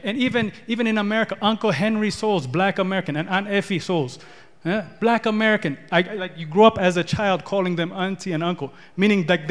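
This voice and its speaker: A man from Ethiopia preaching a sermon, repeating words and building in rising declarations. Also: high-pitched. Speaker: pastor. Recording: long hall reverb.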